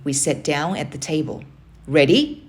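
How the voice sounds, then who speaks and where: Woman reading a kids chapter book out loud, voice a bit low, expressive storytelling. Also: normal speed. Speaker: read-aloud narrator. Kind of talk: expressive storybook read-aloud narration.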